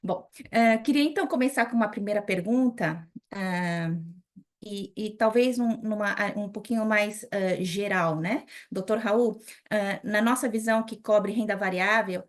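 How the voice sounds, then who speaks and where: A woman with a Brazilian accent moderating a panel interview in a friendly way, often pausing with small fillers. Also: mid-range voice. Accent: Brazilian Portuguese.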